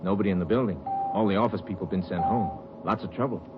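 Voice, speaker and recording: A male actor plays an ominous, folksy American elevator operator in 1950s radio drama. He rattles out short clipped phrases in a fairly low voice. The sound is dull, as from an old broadcast. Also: quick pace, over loud music, natural voice. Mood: worried.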